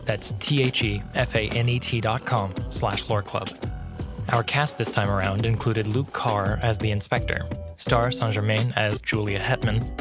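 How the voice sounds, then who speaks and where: A man's voice: on the low side, steady tone, normal speed. Music plays prominently behind a man hosting a podcast. He sounds congested from allergies and talks to his listeners in a casual, informal outro style. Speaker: podcast host.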